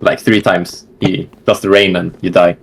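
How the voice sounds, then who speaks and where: Low-voiced guy on a call, laid back, rambles with lots of likes and uhs. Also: level tone, normal speed, gravelly, a little hiss or hum. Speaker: interview guest, gamer. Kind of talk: casual online interview.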